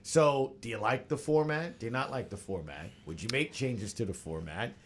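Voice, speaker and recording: A man's voice: mid-range voice, moderate pace. A man hosting a sports podcast, casually moderating a roundtable and putting questions to the panel. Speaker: podcast host. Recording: clear background noise.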